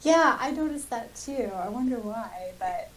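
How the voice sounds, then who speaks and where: Higher-pitched woman, slightly distant mic. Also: expressive, a little slow. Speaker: podcast co-host. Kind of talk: casual chat.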